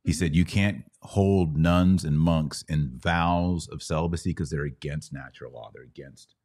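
A man's voice: very deep voice, normal speed, a little mellow. A middle-aged American man hosting a conversational Bible Q&A, teaching in a didactic way with questions to check understanding. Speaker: podcast host and Bible teacher.

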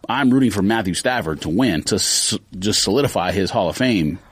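An American man with a fairly low voice that swings widely in pitch co-hosts a casual sports talk podcast. He speaks in relaxed slang, working through counterpoints conversationally. The sound is dry, with no room echo. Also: a little fast, slightly rough. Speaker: podcast co-host. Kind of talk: casual sports debate and chat.

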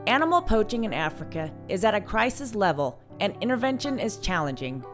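Lively woman narrating over music. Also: mid-range voice, a little fast. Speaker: podcast host, narrator. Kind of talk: scripted narration.

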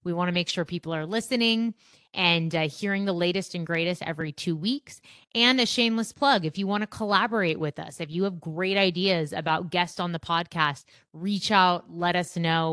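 A woman's voice: on the low side, moderate pace, fairly bright tone, dry recording, studio quality. A woman hosting a podcast in an upbeat, conversational presenting style. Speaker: podcast host.

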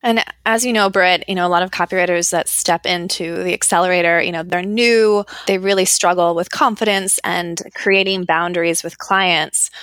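A female co-host asks a guest questions in a supportive, loosely phrased way. Her voice is a bit lower than most women's. The recording is dry and close. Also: a bit bright, no room sound. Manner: supportive, uses fillers like "you know". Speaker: podcast co-host. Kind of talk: interview questions.

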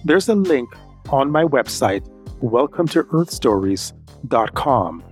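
Mid-pitched male podcast host. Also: very animated, slow, soft background music. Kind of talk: podcast hosting and scripted outro.

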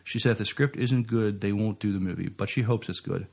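A man co-hosting a casual podcast, talking in a halting, hesitant way. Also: fairly low pitch, creaky, darkish tone, slightly rough. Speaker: podcast co-host.

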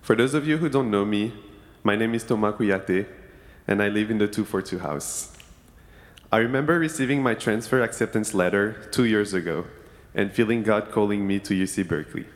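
A young man from Senegal giving an earnest, measured testimony to a church congregation. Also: lowish voice. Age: young adult. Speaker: student speaker in church service. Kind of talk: prepared testimony. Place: church sanctuary. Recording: long hall reverb.

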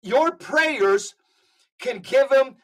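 High-voiced man exhorting listeners. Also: expressive, poor audio. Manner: urgent, exhorting, rapid lists. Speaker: podcast guest. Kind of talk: casual podcast talk.

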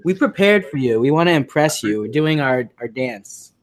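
A man's voice: mid-range voice, animated, moderate pace. A man co-hosting a comedy podcast, talking in a playful, teasing way and often breaking into giggles. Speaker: podcast co-host. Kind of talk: casual comedic chat.

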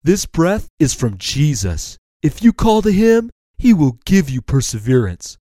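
A man voicing a gentle, encouraging turtle in a children's story, earnest and reassuring. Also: on the high side, very animated, a little slow, no room sound, clean studio sound, pitched above the performer's own voice.

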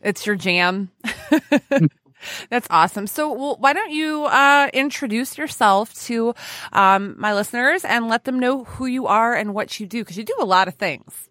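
A woman's voice: fairly high pitch, unhurried, a bit bright, dry recording, clean studio sound. A woman hosting a podcast interview in a casual, playful, gushing way with informal banter. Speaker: podcast host.

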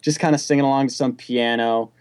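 A young man hosting a casual music chat, telling loose, animated stories and swearing freely. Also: medium pitch, a little fast, clean tone, no echo. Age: young adult. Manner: animated, loose and profane storytelling.

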